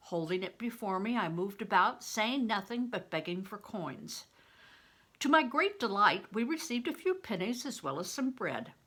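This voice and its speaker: An older woman, a grandmother reading a storybook aloud in a deliberate, expressive way. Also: mid-range voice. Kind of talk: storybook narration.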